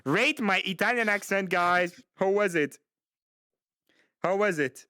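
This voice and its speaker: A man's voice: high voice, unhurried, sharp tone, no echo, studio quality. A man hosting a live stream, reading viewer confessions aloud in a playful, theatrical way and laughing often. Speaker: streamer host.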